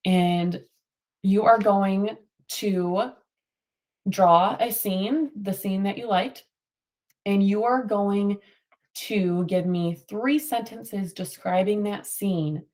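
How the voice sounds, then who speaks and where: A woman's voice: mid-range voice, steady tone, takes it slowly, darkish tone, poor audio. A woman teacher reading a picture book aloud to children and prompting them with questions, affirming their answers.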